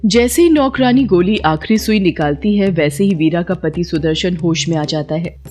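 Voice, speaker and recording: A woman's voice: on the low side, moderate pace, a little mellow, soft background music. A woman storyteller reading scripted folktale narration.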